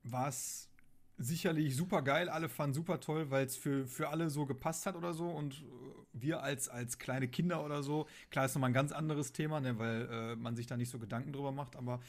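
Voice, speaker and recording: A man's voice: mid-range voice, steady tone, brisk. A man chatting casually as a podcast co-host, musing aloud in colloquial speech. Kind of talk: casual chat.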